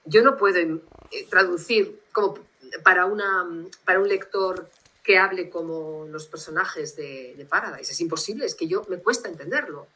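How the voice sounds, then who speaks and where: Woman, Basque Spanish accent, bright voice, reflective and rambling, video call. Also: fairly low pitch, moderate pace, poor audio. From Spain, Bilbao. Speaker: guest translator.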